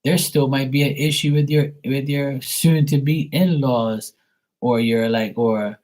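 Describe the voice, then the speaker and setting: A man's voice: medium pitch, fairly even intonation, unhurried, a little mellow. A man from Bermuda, hosting a live stream, talking bluntly and profanely in a rambling casual rant. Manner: blunt, profane, rambling, acts out quoted voices.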